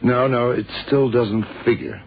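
A man playing a shrewd, world-weary detective in a radio drama, deliberate and slangy as he thinks aloud. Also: mid-range voice, fairly even intonation, vocal fry, husky. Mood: insistent, pondering. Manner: deliberate, musing, terse and slangy. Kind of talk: 1960s-70s radio drama acting. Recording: muffled, tinny old radio recording.

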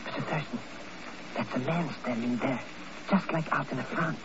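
An actor playing a cowardly, grasping comic sidekick in 1950s radio drama, with a florid, wheedling, self-important manner. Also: male voice, mid-range voice, moderate pace, natural voice. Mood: frightened. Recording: muffled, noisy old radio recording.

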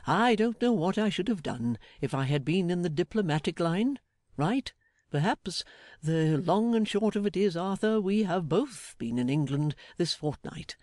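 A man voicing a jolly, blustering older English gentleman in an audiobook, fatherly, emphatic and effusive. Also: high voice, lively intonation, normal speed, dry recording, studio quality. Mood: jovial, bustling. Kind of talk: dramatised audiobook character.

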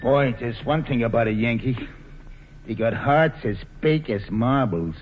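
A man's voice: mid-range voice, a little slow, noisy background, natural voice. Man playing a cocky American bootlegger boss, echoing old radio. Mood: wheedling, pushy. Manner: breezy, pushy, wheedling talk in gangster slang. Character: slangy, cocky male bootlegger gang boss. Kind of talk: 1940s radio acting. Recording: dull vintage radio broadcast, reverberant, faint music.

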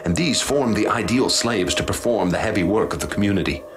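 An actor playing a haunted male professor, speaking in a formal, measured, ominous way in a radio drama. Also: on the low side, animated, music in the background, close to an everyday voice. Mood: grave, measured. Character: grave haunted male professor recounting horrors he witnessed. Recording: clear background noise and ambience.

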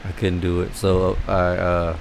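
A man chatting casually as a podcast co-host, relaxed and swearing freely. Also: low voice, measured pace, gravelly, slight background noise. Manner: relaxed, swears, laughs often. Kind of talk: casual chat.

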